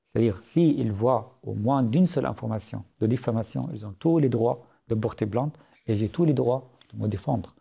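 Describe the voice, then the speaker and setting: A man's voice: mid-range voice, moderate pace, vocal fry, dark tone, husky. A man in his late thirties, originally from Syria, speaking French with an Arabic accent as a podcast guest, earnest, with many hesitations and restarts. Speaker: guest author. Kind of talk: podcast interview.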